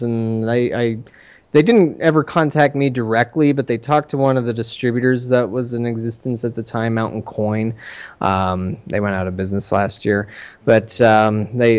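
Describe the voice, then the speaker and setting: Clear-voiced man, dull lo-fi recording. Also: medium pitch, a little mellow. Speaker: podcast host and arcade operator. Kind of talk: casual podcast conversation.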